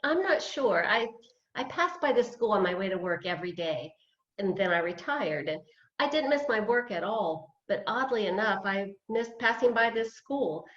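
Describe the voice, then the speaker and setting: A woman's voice: medium pitch, lively intonation, moderate pace, a bit bright, lightly acted. A woman playing a self-deprecating, hesitant retired woman of fifty-eight, a beginner dancer, in a play read over video call. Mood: wry, hesitant. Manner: hesitant, wry, chuckling. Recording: roomy, dull video-call audio.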